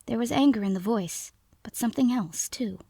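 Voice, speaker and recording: High-voiced woman narrating horror, creaky edge. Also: normal speed, warm tone, no echo. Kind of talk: scripted first-person narration.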